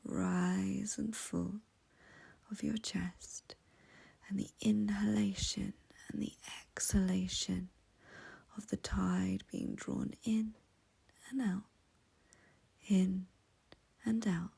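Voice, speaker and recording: A woman leading a guided meditation in a soft, breathy, whispery voice, gentle and soothing, with long pauses. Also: medium pitch, little pitch movement.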